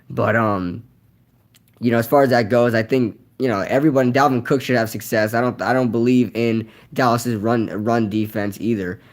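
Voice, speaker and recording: A young man whose voice is nearly shot from yelling, rambling casually through a live Q&A with lots of fillers and repeated words. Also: mid-range voice, steady tone, moderate pace. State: hoarse, voice nearly gone from yelling. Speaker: streamer hosting a live Q&A. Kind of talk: casual live-stream chat reading comments.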